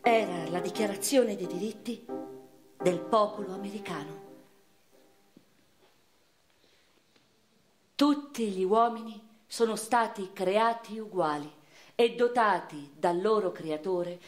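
A woman's voice: medium pitch, lightly acted. Actress as a theatrical, passionate noblewoman in echo. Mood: composed, reflective. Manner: measured, intense delivery swinging from hushed to impassioned. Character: educated eighteenth-century noblewoman, passionate and wounded, recalling her life. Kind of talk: theatrical first-person dramatic monologue for radio. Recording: roomy echo, faint music behind.

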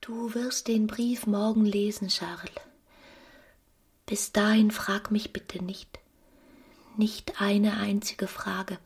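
An actress playing a desperate, passionate married woman in a German radio play, with intense delivery and a breathy voice. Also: medium pitch, flat delivery, slow pace, pauses often, darkish tone. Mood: weary, resigned. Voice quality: breathy, often whispering. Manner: intense, dramatic.

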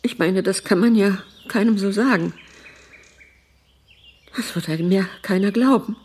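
An actress playing a weary, headstrong older mother in a naturalistic radio drama, husky and speaking in reticent fragments. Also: medium pitch, unhurried, darkish tone. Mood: wistful.

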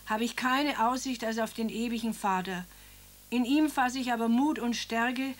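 A woman's voice: fairly high pitch, lightly acted. An actress playing an elderly grieving mother who reads her son's letter and then talks about him in homely, rambling speech. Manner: plain homely speech with tag questions. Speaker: mother of Karl. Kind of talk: radio drama acting.

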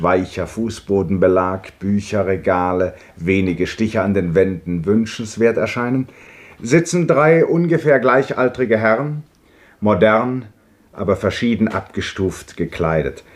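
A man reading the stage directions of a 1950s radio play in a measured, descriptive way. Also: on the low side. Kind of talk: 1950s radio drama narration. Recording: archive radio broadcast.

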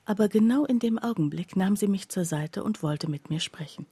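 An actress playing a skeptical woman confiding about her friend in a radio play, her voice husky and breathy and her delivery hushed. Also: medium pitch, moderate pace, no echo, close to an everyday voice. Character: skeptical female friend recounting her friend's odd behaviour. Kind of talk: literary radio play narration.

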